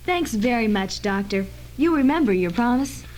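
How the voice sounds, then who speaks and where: Woman playing persistent reporter, slightly high voice. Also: expressive, moderate pace, a little mellow, natural voice. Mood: polite, firm. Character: persistent, crisp female press reporter. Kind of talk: old-fashioned radio drama acting. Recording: noisy amateur 1980s radio tape.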